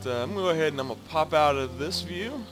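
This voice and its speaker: A man's voice: a little high, lively intonation, racing. A man giving a casual, improvised game walkthrough as host of a gameplay video. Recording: game music loud under commentary. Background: loud game music.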